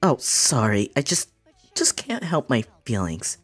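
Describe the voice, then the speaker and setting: Man putting on a husky, coy bat lady. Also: mid-range voice, huge pitch swings, measured pace, full character voice. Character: coy, flirty female bat agent. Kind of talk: amateur comic cartoon voice-over.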